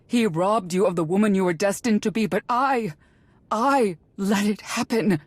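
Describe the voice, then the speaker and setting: An actress playing a sorrowful middle-aged mother in a video game, earnest and halting, with a husky voice. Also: medium pitch, no echo, lightly acted. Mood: remorseful pleading. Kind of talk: video game character acting.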